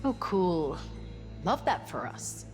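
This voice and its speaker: A wry, guarded woman doctor on a spaceship crew. She speaks low, with a sardonic edge suited to game drama. Reverb hangs around her voice and music plays behind. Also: big pitch swings, takes it slowly. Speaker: ship's doctor. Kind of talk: cinematic video game acting.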